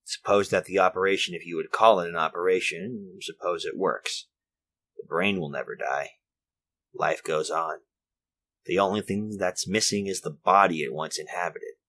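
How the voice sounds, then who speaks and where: Male actor as troubled 1940s radio-thriller protagonist: low, hard-edged voice, theatrical rhetorical questions, halting and morbidly anxious. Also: sharp tone, heavily acted. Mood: brooding, insistent. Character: anxious, morbidly argumentative man confessing to an unseen judge. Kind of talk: retro 1940s radio drama acting.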